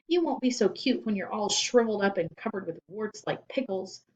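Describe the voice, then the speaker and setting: A woman voicing an irritable, menacing female schoolteacher with a demanding, sharp manner in a children's storybook. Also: mid-range voice, animated, brisk, dull audio, natural voice. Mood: mocking, threatening. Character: irritable, menacing female schoolteacher who threatens her pupils. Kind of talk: children's storybook read aloud.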